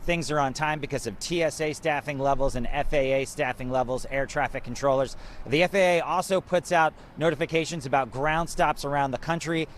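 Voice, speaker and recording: An American man reports live for television news in a fairly high male voice. He speaks in broadcast reporting style, with faint outdoor traffic noise around him. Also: a little fast.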